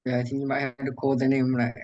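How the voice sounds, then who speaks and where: A man's voice: mid-range voice, monotone, quick pace, darkish tone. A man who organizes the meetup, prompting the speaker casually about who should talk next on a video call. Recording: dull lo-fi call audio.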